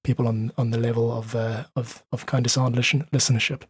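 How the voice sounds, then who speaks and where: A man's voice: medium pitch, flat delivery, fairly quick, breathy edge, no room sound, studio quality. A man chatting casually as a podcast guest, in an easygoing, informal manner. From South Africa. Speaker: podcast guest, independent musician. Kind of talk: casual interview chat.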